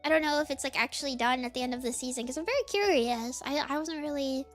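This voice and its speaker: A woman's voice: sits high, fast talker, faint music behind. A woman streamer chatting casually in a rambling, giggly way, full of fillers. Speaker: VTuber streamer.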